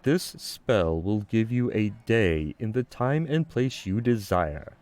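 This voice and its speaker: Man playing a slow, solemn coffee god. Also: on the low side, expressive, darkish tone, no room sound. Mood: grave, measured. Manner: slow, grandiose, oracular pronouncements. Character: solemn, cryptic skeletal coffee god granting time travel. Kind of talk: audio drama acting.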